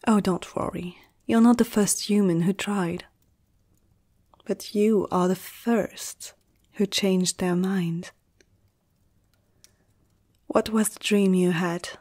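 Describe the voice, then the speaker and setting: A woman playing a gentle, protective vampire in an ASMR roleplay, soft-spoken and often slipping into a whisper, soothing a human. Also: mid-range voice, slightly rough.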